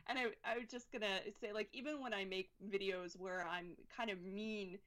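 A woman's voice: medium pitch, fairly even intonation, normal speed, a bit bright. A woman from Alberta with a Canadian accent, answering interview questions about her political videos with hedging and frequent restarts. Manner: hedging, self-deprecating, many restarts. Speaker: political video creator, guest.

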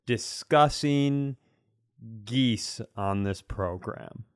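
A man's voice: mid-range voice, drawn-out words, smooth, no echo, clean studio sound. A man co-hosting a comedy podcast, bantering casually in a wry, mock-serious way.

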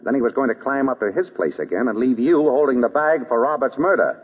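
An actor playing a shrewd, unruffled detective in a vintage radio drama, questioning in a wry, probing way. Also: male voice, mid-range voice, fast, slightly rough, narrow, tinny audio, lightly acted. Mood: shrewd, pressing. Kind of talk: 1930s-40s radio drama acting. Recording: thin old radio broadcast.

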